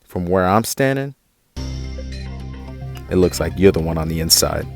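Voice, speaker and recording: A man's voice: low voice, lively intonation, slow pace, creaky, darkish tone, soft background music, exaggerated voice. A man playing a hurt, defiant younger brother in a naturalistic audio drama, with an American accent and brooding, slangy speech. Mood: bitter, resigned.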